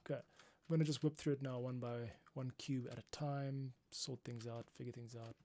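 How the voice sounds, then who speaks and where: A man's voice: medium pitch, flat delivery, normal speed, dark tone. Laid-back man commentating while working. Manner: laid-back, thinking aloud. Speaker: livestream host, composer. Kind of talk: casual work-along commentary.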